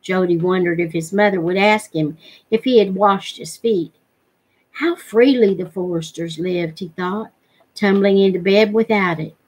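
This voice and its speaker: A middle-aged woman reads a story aloud. Her voice sits at a medium pitch and has a husky, unpolished edge. She is narrating a novel for a read-along. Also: poor audio. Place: living room at home, indoors.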